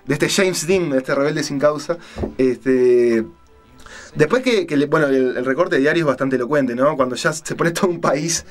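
A man with a Rioplatense Spanish accent hosting a radio show, telling a story casually and haltingly, searching for his words. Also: fairly high pitch, faint music behind.

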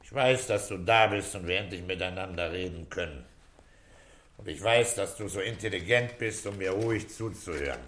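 An actor playing a middle-aged police inspector in a German radio drama, speaking in a calm, coaxing, fatherly and shrewd manner. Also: low-pitched, normal speed, slight background noise.

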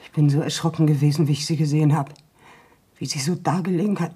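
An actress playing a shaken, plain-spoken country woman in a German radio play, speaking in a halting, subdued way with a husky voice. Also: deep voice, fairly even intonation, mellow. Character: shaken, subdued country woman reporting her sister's death.

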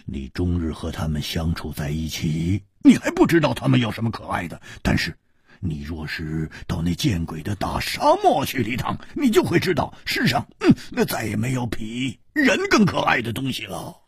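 Storybook role: blunt, excitable hard-drinking wanderer played by a male narrator, very husky, wide swings in pitch. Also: normal speed, dry recording, clean studio sound, heavily acted. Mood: tipsy, fond. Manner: sighing, muttering, full of interjections. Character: hearty, hard-drinking adventurer man, blunt, sentimental, excitable. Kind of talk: audiobook character voice.

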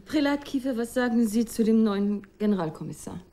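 A woman's voice: on the high side, lively intonation, a little fast. An actress playing a sociable, mocking society lady in a German radio drama, chatty and theatrical. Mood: inquisitive. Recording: loud music behind the voice. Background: music.

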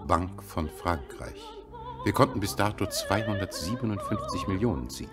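An actor in a German radio drama playing a deferential French government envoy, discreet and confiding. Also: male voice, fairly low pitch, wildly swooping pitch, normal speed, music in the background. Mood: confiding, reassuring. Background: opera performance.

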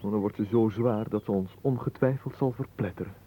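An actor playing an earnest, slow-witted lovesick suitor in a radio play, hesitant, plaintive and easily hurt. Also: male voice, on the low side, mellow, natural voice. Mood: hurt, mournful. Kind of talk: Dutch radio play acting. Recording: muffled, noisy old radio recording.

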